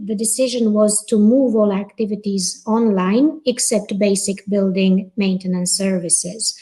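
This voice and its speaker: A middle-aged woman from Romania giving a prepared conference talk as a guest professor over a video call, speaking in a careful, measured way with frequent "uh" and "um". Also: mid-range voice, darkish tone, rough recording. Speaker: guest panelist, associate professor.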